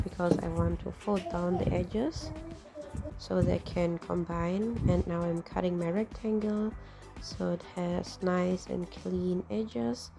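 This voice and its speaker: A woman with a deep, husky voice, hoarse from a cold, explaining each step of a craft tutorial, with small slips. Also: dark tone. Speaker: craft channel host.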